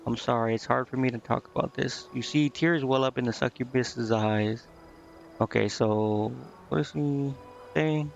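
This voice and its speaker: A man's voice: medium pitch, moderate pace, gravelly. A man doing casual video game commentary, thinking aloud in a rambling way and stumbling as he reads dialogue out. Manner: rambling, thinking aloud, stumbling over words when reading. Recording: dull, lo-fi microphone sound.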